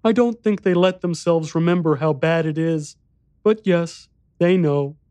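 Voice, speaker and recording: Man voicing a high-pitched, solemn little boy. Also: measured pace, dark tone, no echo, natural voice. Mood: grave. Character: solemn, frightened little boy in a haunted house. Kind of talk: audiobook character dialogue.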